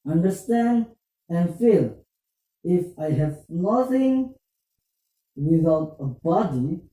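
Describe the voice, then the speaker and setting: A performer acts out an earnest young student puppet, hesitant but eager to learn. The delivery is slow and halting, with a very dark tone, heard from a distance in an echoey room. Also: mid-range voice. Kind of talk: scripted puppet show dialogue.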